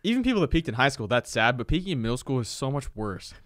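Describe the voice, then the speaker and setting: A young man co-hosting a podcast in casual chat, making joking deadpan asides, close to a studio mic. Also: mid-range voice, fast, no room sound. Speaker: podcast co-host. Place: room with couch, indoors. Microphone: studio mic close up, headphones.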